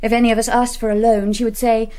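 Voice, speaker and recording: A woman's voice: on the high side, flat delivery, fast, warm tone, lightly acted. An actress playing a spoiled, brazen young English society woman in a radio play, with a British accent and a cool, clipped, imperious manner. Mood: brazen, worldly.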